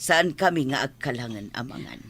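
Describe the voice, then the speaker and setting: A woman's voice: rumbling low, animated, normal speed, a bit bright, slight background noise. A woman playing a haughty, wealthy middle-aged mother in a radio drama, with an imperious, dramatic way of talking. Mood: haughty disdain. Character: haughty wealthy mother, disdainful of poor relatives. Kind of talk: Ilocano radio drama acting.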